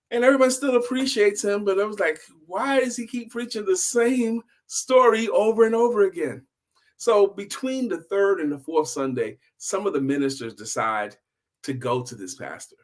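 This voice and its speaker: A man's voice: sits high, a bit bright. A man hosting an informal story time for adults, telling his tale conversationally and repeating phrases for emphasis. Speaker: host, storyteller.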